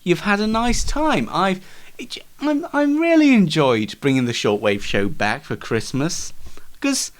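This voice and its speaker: A man hosting a comedy show in a casual, self-deprecating and halting way. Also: high-pitched, big pitch swings. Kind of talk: casual, scripted comedy interview and chat.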